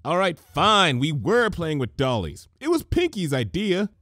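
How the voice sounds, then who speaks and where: A man plays a young flying mare in a read-aloud cartoon story. She is brash and casual, a little sceptical, with a raised pitch and an offhand way of talking. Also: sing-song, measured pace, a bit bright, no room sound, clean studio sound, exaggerated voice. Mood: sheepish.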